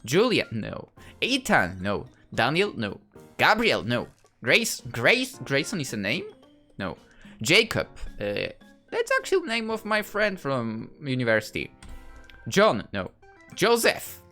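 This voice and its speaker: A man's voice: mid-range voice, huge pitch swings, measured pace, bright, forceful tone, faint music behind. A young man from Poland with a Polish accent, playfully chatting and reading game dialogue aloud in a casual let's play. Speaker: gaming YouTuber.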